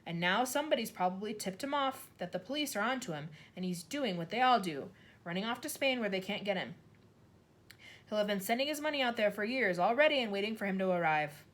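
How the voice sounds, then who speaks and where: Soft-spoken kind teacher, gentle and quiet , as a woman reads her. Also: mid-range voice, animated, brisk, close to an everyday voice.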